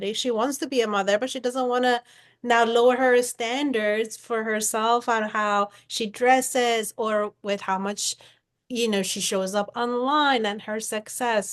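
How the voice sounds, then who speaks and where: A woman hosting a podcast interview, talking in an animated way with many fillers. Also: mid-range voice. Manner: animated, filler-heavy talk. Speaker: podcast host.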